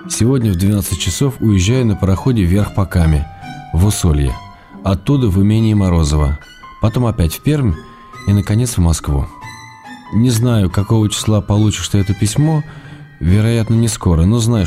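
Strong music plays behind a deep male voice. A man acts a middle-aged writer and husband in a radio drama, reading his letters aloud. He is tender and playful, with a measured, intimate delivery. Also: close to an everyday voice.

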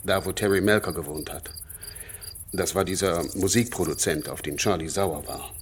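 A man's voice: sits low, moderate pace, slight background noise, lightly acted. A man playing a young cult follower who gives a plain account of his orders in a German radio play. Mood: matter-of-fact.

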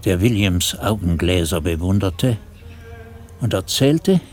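An actor playing a reflective monk who narrates a radio play in a deliberate, atmospheric storytelling manner. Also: male voice, sits low, lively intonation, darkish tone, slight background noise. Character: reflective male monk narrator recounting abbey mystery.

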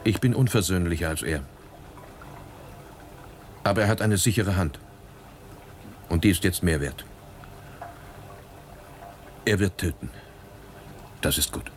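An actor playing a bitter, hardened revolutionary and former prisoner in a German radio drama, grim and emphatic in manner. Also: male voice, deep voice, measured pace, pauses often, a little hiss or hum. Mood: cold, grim.

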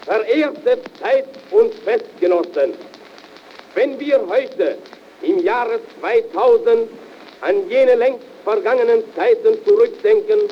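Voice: mid-range voice, lively intonation, slow pace. An actor playing a solemn festive orator from the future, declaiming grandly on an early twentieth-century gramophone record. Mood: solemn, pompous. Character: solemn orator of the year 2000 looking back. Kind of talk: 1900s comic festive speech on record. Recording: crackly, dull 1908 gramophone record.

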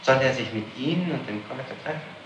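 An old, noisy interrogation tape from the 1960s in an echoey room. A man of average pitch questions as a prosecutor. His delivery is official and methodical, pressing for answers. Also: lively intonation, quick pace, a bit bright. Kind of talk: formal interrogation. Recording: noisy, roomy archival 1960s tape recording.

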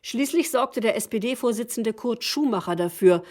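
A woman narrating a documentary radio play in a calm, explanatory tone. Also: a little high, unhurried.

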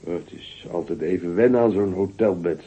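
Deep, drowsy, lazy folk hero. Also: male voice, moderate pace, mellow, slightly rough. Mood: drowsy boasting. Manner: drowsy, yawning, unbothered. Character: sleepy, lazy, boastful folk-tale hero, a man. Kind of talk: radio play acting. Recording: dull, noisy old radio recording.

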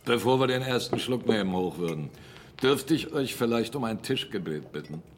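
A man playing a bluff, commanding country captain and host in a German radio drama, gruff and hearty. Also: medium pitch, expressive, moderate pace, a little hiss or hum, lightly acted. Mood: probing, courteous.

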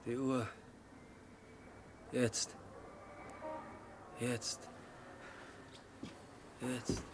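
An actor playing a despairing man in a 1960s German radio drama, muttering in halting fragments. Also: medium pitch, level tone. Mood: numb dread. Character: despairing man on a ledge, fixated on a clock. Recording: noisy vintage 1960s radio recording.

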